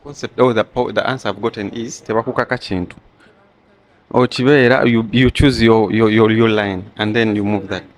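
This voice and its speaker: A man's voice: lowish voice, fairly even intonation, moderate pace. A man with a Ugandan accent interviewing casually, switching between languages and repeating phrases as he forms his questions.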